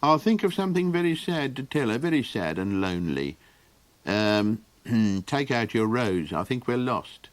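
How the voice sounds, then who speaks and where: A man voicing a small, anxious male Golok creature in a hesitant, murmuring low voice. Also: sing-song, moderate pace, dry recording, natural voice. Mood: fretful. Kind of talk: audiobook character voice.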